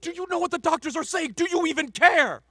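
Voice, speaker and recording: A man's voice: high-pitched, moderate pace, a bit bright, dry recording, clean studio sound. An actor playing a protective, embittered husband and father in an audio drama, with emotional delivery. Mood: angry, reproachful.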